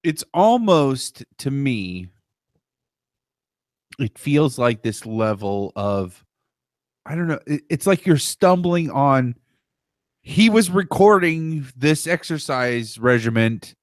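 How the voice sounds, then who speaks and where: A man's voice: mid-range voice, big pitch swings, slow, long pauses, dry recording, clean studio sound. A man co-hosting a casual chat about a video. He jokes and halts, stumbling over his words. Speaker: co-host.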